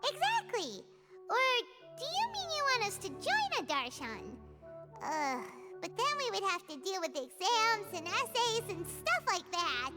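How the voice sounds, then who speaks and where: A woman voicing a small, childlike, perky fairy companion in a video game, squeaky and excitable. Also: a little slow, exaggerated voice. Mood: curious. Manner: excitable, refers to self by name. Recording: loud music under the voice.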